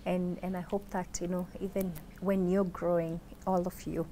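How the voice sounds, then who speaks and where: A woman's voice: lowish voice, level tone, moderate pace, warm tone, slight background noise. A woman hosting an interview, keeping the talk going with encouraging short affirmations and questions. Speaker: interview host. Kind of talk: casual interview chat.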